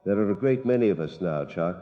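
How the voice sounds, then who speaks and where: An actor playing a calm, courteous, menacing zombie who speaks for the horde in an audio horror drama. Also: male voice, deep voice, fairly quick, slight background noise. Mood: calm menace. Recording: dark muffled line with long reverb.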